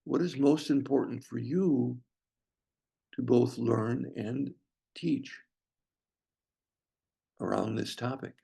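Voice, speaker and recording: Slow, gravelly man guiding meditation. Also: medium pitch, warm tone. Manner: slow, pausing delivery in short phrases. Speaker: breathwork teacher leading a guided meditation. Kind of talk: guided meditation.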